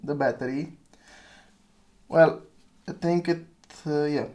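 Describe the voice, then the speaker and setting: A chatty man talks through a hands-on gadget review, thinking aloud and chuckling at his own slip-ups. His voice sits a little higher than most men's. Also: fairly even intonation, slow, a little mellow. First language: Romanian. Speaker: retro phone reviewer.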